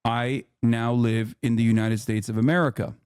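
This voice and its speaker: A man from Turkey, a native Turkish speaker, hosting a live debate and explaining at length in a patient, deliberate way. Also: medium pitch, fairly even intonation, darkish tone, clear, resonant tone, no echo, clean studio sound.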